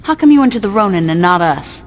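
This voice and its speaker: An actress playing a suspicious, commanding gang boss in a video game, curt and sardonic. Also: female voice, mid-range voice, lively intonation, fast, long reverb tail, lightly acted. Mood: wary, commanding. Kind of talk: video game cutscene acting.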